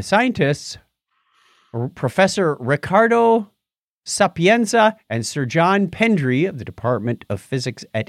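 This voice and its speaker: A man's voice: mid-range voice, no room sound, clean studio sound. A man hosting a podcast, chatting casually and jokily about tech news with theatrical, self-deprecating announcing. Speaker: podcast host.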